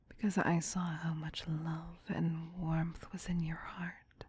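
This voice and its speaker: A woman voicing a tender, possessive vampire queen in a soft, breathy, soothing ASMR whisper. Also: sits low, little pitch movement, slight background noise. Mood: tender, adoring. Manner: slow, doting, soothing.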